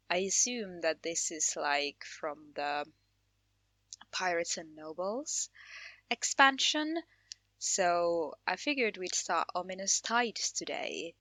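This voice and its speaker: A woman's voice: fairly low pitch, bright, forceful tone, dry recording. A woman from Finland hosting a casual Let's Play, talking in a chatty, informal way. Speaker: gaming channel host.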